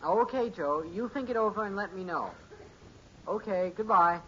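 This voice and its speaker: An actor playing an eager, boastful teenage son in a 1950s radio sitcom, earnest and self-important. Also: male voice, high voice, animated, normal speed, fairly bright tone. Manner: earnest, self-important, hesitant under questioning. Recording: dull, hissy old radio recording.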